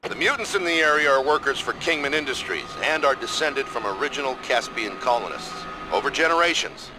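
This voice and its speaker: A man playing a stern, authoritative military commander giving formal, crisp mission briefings in a video game. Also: medium pitch, sharp tone, slight background noise, poor audio.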